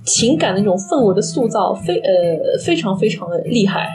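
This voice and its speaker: A woman's voice: medium pitch, big pitch swings, fairly quick. A woman talks through a film as a podcast co-host, with music playing behind her. She is emphatic and opinionated, casual in style, and slips English phrases into her talk. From Shanghai, China.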